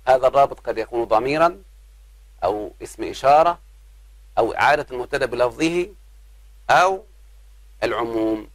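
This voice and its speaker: A man, teaching an Arabic grammar lesson. He talks patiently, addresses his students as his children and slows down on examples. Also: medium pitch, no echo. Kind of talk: grammar lesson explaining verse.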